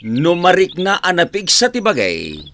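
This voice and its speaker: Lively, teasing husband, sharp-voiced. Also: male voice, high-pitched, measured pace, exaggerated voice. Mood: stubborn. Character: stubborn joking husband who drinks, downplays his illness. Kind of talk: Ilocano radio comedy drama acting.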